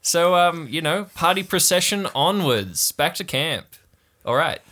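A man's voice: fairly high pitch, lively intonation, unhurried, sharp tone, pitched above the performer's own voice. A man voicing an easygoing boy cult leader who drawls in slangy surfer-dude talk full of fillers. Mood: laid-back, announcing.

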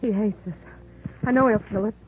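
A woman's voice: medium pitch, a little fast, natural voice. A woman playing an anxious young carnival snake charmer in a 1950s radio drama. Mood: wary, frightened. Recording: very noisy, narrow vintage radio recording.

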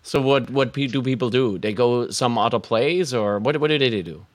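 A man's voice: mid-range voice, moderate pace. A man co-hosting a casual live stream, goofy and chatty, throwing out puns and hyping them up to the audience. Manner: goofy, hypes up his own jokes, lots of fillers. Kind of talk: casual banter, telling puns.

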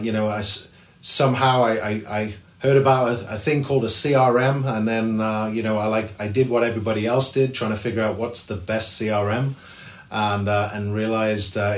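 English man, real estate agent guest, low voice, chatty with lots of fillers, roomy echo. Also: fairly even intonation, normal speed. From England. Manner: chatty, many fillers and restarts. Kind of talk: podcast interview.

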